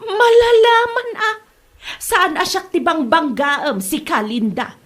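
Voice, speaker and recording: A woman's voice: very high voice, takes it slowly, fairly bright tone, exaggerated voice. A woman playing an indignant neighbor in a comedy drama, confrontational and accusing. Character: indignant neighbor woman threatening over a land boundary. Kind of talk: Ilocano comedy drama acting.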